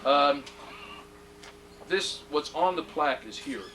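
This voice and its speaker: A man's voice: a little high, animated, slow pace, bright, forceful tone. A middle-aged man presenting at a hall of fame induction, genial, with joking asides, reading aloud from a plaque. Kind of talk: ceremony speech. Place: ceremony hall. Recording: distant mic, noisy room.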